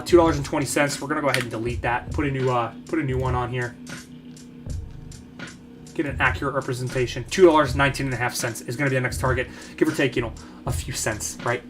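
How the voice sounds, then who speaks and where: A man hosting a market analysis channel, slightly hoarse, talking bluntly and emphatically with lots of fillers and repeated phrases. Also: mid-range voice, fairly even intonation, quick pace, a bit bright, soft background music. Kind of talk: casual chart analysis talk.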